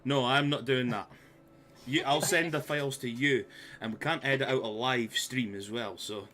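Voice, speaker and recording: A man's voice: medium pitch, moderate pace, bright, forceful tone. A Scottish man running a tabletop game on a live stream, bantering and swearing before a recap. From Scotland. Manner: swears a lot, jokey banter. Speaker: game master. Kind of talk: casual chat and game recap. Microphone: live stream mic.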